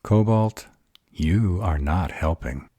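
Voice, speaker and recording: A man playing an arrogant, calculating vampire in an audio roleplay, measured and formal. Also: low-pitched, warm tone, no echo. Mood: exasperated. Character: arrogant male vampire, composed, calculating strategist.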